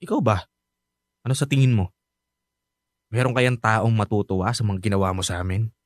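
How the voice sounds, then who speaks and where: An actor playing a resentful, stubborn young adult son in a radio drama, with a curt, sarcastic manner. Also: male voice, on the low side, steady tone, moderate pace, dry recording, close to an everyday voice. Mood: bitter, sarcastic.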